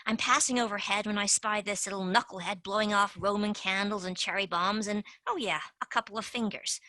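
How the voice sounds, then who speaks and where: A woman playing a pushy, scheming young female tooth fairy agent in a stage play, fast-talking and dropping into conspiratorial whispers. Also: medium pitch, fairly even intonation, sharp tone, dry recording, natural voice. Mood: breezy. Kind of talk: amateur stage-play acting.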